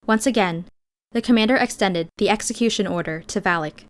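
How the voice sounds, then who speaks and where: A woman's voice: mid-range voice, fairly quick. Woman narrating a fiction audiobook. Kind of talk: scripted first-person fiction narration.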